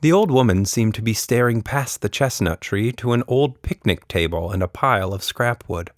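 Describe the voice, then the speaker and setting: A man's voice: on the low side, lively intonation, moderate pace, no echo, studio quality. A man narrating a scripted children's story expressively, as a podcast storyteller.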